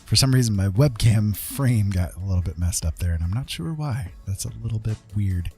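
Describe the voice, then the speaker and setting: A man's voice: on the low side, expressive, brisk, a little mellow, faint music behind. A man giving casual live gameplay commentary as a streamer, chatty and thinking aloud. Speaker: game streamer, host.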